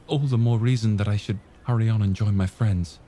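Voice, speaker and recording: A man's voice: fairly low pitch, steady tone, a little fast, mellow, a little hiss or hum, voice pushed up, lightly acted. A man voicing an earnest young boy who speaks politely and in a hurry. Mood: eager.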